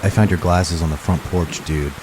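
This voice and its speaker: A man's voice: sits low, normal speed, background noise, close to an everyday voice. An actor playing a laid-back teenage boy, a reckless but loyal friend with a casual, slangy way of talking, in an audio drama. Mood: urgent, casual. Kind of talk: audio drama character acting.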